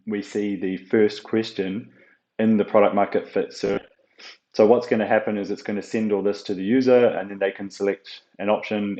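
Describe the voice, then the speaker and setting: A man with a fairly low voice talks through a software walkthrough. He explains step by step in a halting, unscripted way, full of ums and uhs. The recording is roomy and echoing, with a slightly dull tone. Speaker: software tutorial presenter. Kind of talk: unscripted screen-share walkthrough.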